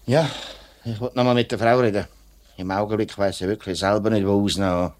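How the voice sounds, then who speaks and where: A man's voice: lowish voice, steady tone, brisk, darkish tone, natural voice. An actor playing an upright, plain-spoken middle-aged union man with a Swiss German accent in a 1950s radio play. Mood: torn, weary. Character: upright, outspoken workman and union man, family father.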